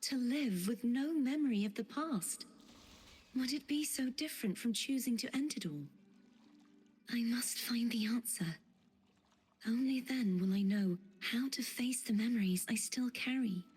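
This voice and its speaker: A woman voicing an earnest, resolute young maiden in a video game, with a soft, breathy, measured delivery. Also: fairly high pitch. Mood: pensive, resolute. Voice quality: very breathy, whispery. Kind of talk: dramatic video game voice acting.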